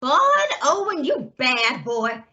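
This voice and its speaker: A woman's voice: sits high, wildly swooping pitch, slow pace, lo-fi sound, loud music behind the voice, heavily acted. A woman playing a motherly older neighbor in an old-time radio drama, effusive and teasingly scolding. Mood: teasing, mock-scolding.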